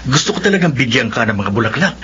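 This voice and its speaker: A man acting as an earnest, patient young suitor who courts gently. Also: medium pitch, big pitch swings, quick pace. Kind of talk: spoken drama acting. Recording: dull lo-fi audio with faint hum.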